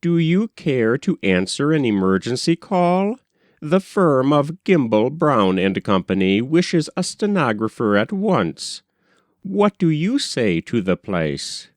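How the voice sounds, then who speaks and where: A man's voice: medium pitch, sing-song, slow pace, dry recording, studio quality, pitched above the performer's own voice. A man voicing a courteous, businesslike business college manager in a storybook reading. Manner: polite, businesslike.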